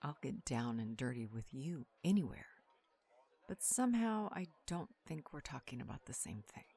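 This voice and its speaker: A woman's voice: very deep voice, sing-song, moderate pace, dark tone, husky, full character voice. An actress playing a sassy, flirtatious part-wolf woman with a menacing edge, sardonic and playful, in an audio roleplay.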